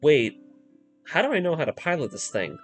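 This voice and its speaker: A man's voice: on the high side, sing-song, normal speed. A man voicing a panicking young male mecha pilot in a comic, frantic, pleading way. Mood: comic panic. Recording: voice-over with music underneath.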